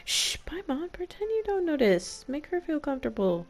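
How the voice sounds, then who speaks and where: A woman's voice: high-pitched, sing-song, moderate pace. A woman doing casual, playful commentary as she streams a game, talking back to the characters. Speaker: gameplay streamer. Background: game music.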